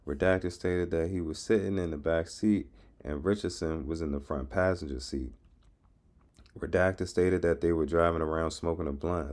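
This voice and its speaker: Low-voiced American man, informal report reading. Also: darkish tone. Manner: frequent "uh" hesitations, casual street slang. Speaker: YouTube channel host. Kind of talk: informal commentary and reading documents aloud.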